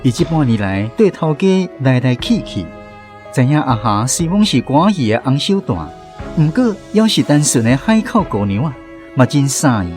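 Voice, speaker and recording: A man's voice: mid-range voice, very animated, normal speed, darkish tone, soft background music. A man with a Taiwanese accent narrating a radio drama in a dramatic storytelling style.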